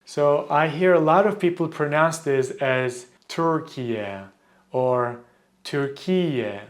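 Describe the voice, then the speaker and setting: Turkish-accented man teaching pronunciation. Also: fairly high pitch. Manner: clear, deliberate, slows to model pronunciation. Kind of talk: language lesson to camera.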